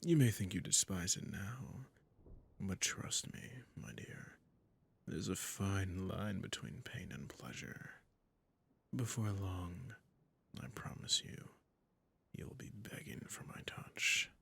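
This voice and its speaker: A man playing a sadistic, possessive vampire master in an intimate ASMR roleplay, speaking in a breathy, husky, purring and condescending way, often in a whisper. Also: deep voice, expressive, slow pace, a bit bright, full character voice. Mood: savoring, taunting.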